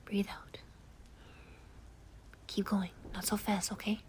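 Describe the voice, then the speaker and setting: Girl doing a soft-spoken young boy calming his friend down, lots of whispering, slow and easy, faint hum in the room. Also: medium pitch, lightly acted. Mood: soothing. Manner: soft, soothing, often whispered. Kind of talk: ASMR comfort roleplay.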